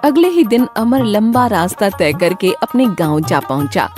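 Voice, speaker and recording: A woman's voice: medium pitch, big pitch swings, normal speed, a little mellow, faint music behind. A woman narrating a scripted children's moral story as the storyteller.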